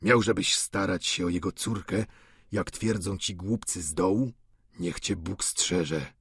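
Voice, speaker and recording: A man voicing an old doting father in a storybook reading, low, soft and emotional. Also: measured pace, vocal fry, breathy edge, no echo, clean studio sound, exaggerated voice. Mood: anxious.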